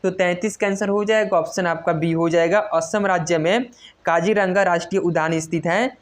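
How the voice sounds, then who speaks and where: A woman's voice: on the low side, steady tone, normal speed. A woman teaches in a quiz-style exam lesson, reading out questions and stressing each answer repeatedly in an instructional way. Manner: instructional, repetitive emphasis on key answers. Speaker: exam coach.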